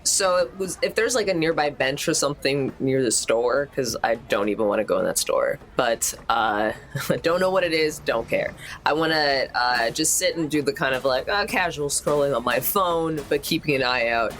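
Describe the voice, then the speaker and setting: Tabletop player, androgynous medium-pitched voice, casual and a little tentative. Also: lively intonation, normal speed, a bit bright. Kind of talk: casual in-game chat.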